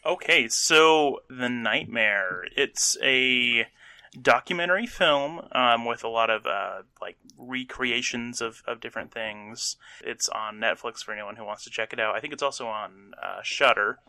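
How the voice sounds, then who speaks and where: A man co-hosting a podcast, explaining things informally in a measured, informative way with short pauses. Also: mid-range voice, pushed and bright, no room sound. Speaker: podcast co-host. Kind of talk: casual explanatory chat.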